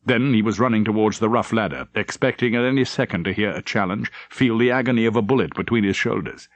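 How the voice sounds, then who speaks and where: A man's voice: on the low side, fast talker, no room sound. A man narrating a novel as a scripted, dramatic audiobook reading.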